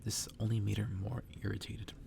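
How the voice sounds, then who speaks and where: A man reading a story aloud in a hushed, breathy ASMR whisper. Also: monotone, a little fast, a little hiss or hum. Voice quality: breathy whisper, gravelly low voice. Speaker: ASMR reader.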